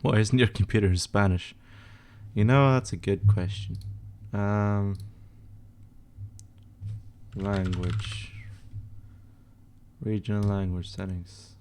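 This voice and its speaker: A man's voice: fairly low pitch, fairly even intonation, slow pace, pauses often, a little mellow. A young man from Sweden hosting a casual livestream, reading out chat questions and answering in an offhand, mumbling way, swearing under his breath as he works. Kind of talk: casual livestream chat while editing images.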